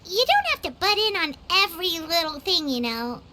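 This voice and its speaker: Casting: tiny chirpy game fairy, extremely high, wildly animated, nosy and cheeky. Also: female voice, moderate pace, very noisy. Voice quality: squeaky, childlike. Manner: cheeky, exclamatory, speaks of self in third person. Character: small chirpy childlike female fairy companion, nosy and excitable. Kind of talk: video game voice acting. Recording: loud game music behind. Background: music.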